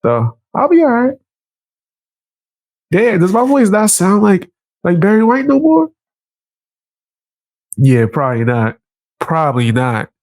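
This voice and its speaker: A stuffy-nosed man with a New York accent riffs with his live chat, his voice nasal and gravelly with fry. He jumps up high when he jokes. The sound is close and dry, with no room around it. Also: wildly swooping pitch, takes it slowly, long pauses, a little mellow, no echo. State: congested, stuffy nose. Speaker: commentary streamer. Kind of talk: casual reaction commentary.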